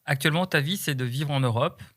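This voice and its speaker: A man's voice: fairly high pitch, fast, fairly bright tone. A man hosting a podcast, speaking in a chatty, enthusiastic way and cutting in on his guest. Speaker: podcast host. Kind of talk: casual interview conversation.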